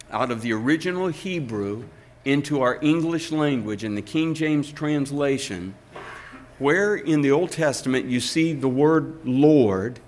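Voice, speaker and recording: An older man, a pastor, reading scripture aloud and preaching a sermon in a slow, deliberate way with emphatic pauses. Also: mid-range voice.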